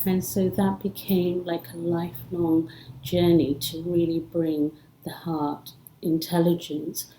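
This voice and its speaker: A woman's voice: on the low side, flat delivery, slow, dark tone, roomy sound, a little hiss or hum, poor audio. A middle-aged woman, raised in India and England with English as a second language, speaking earnestly and reflectively as an interview guest. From born in Africa, raised in India and England. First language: not English. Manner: earnest, flowing, with frequent "you know". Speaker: podcast guest, psychologist.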